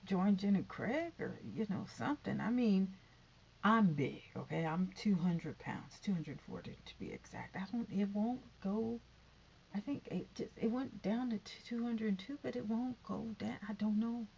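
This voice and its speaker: Fifty-something woman rambling on her vlog, sighs and drops to whispers, kinda raspy. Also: medium pitch, lively intonation, normal speed, a little mellow, muffled recording. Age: 50s. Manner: chatty, sighing, drifting into whispered asides. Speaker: YouTube vlogger.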